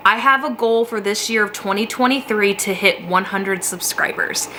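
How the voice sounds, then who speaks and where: Woman beauty vlogger: bright, chatty, a little sniffly, casual to-camera talk from a home basement office. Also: medium pitch, normal speed, slight background noise. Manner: chatty, rambling, laughs often. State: slightly sniffly from a cold.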